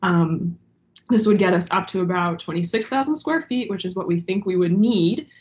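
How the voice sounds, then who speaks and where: A woman's voice: fairly low pitch, normal speed, a little mellow, highs cut off. A young woman with a stuffy, congested voice giving formal testimony as a library director over a video call, hesitant with many ums. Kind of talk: formal testimony at an online meeting. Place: home room, indoors. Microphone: video call webcam.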